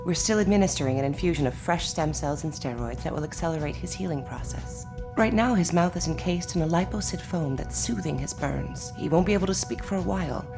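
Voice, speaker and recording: An actress playing a calm, motherly but firm hospital nurse in an audio drama, speaking with soothing, matter-of-fact reassurance. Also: fairly low pitch, fairly quick, gravelly, over music. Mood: reassuring.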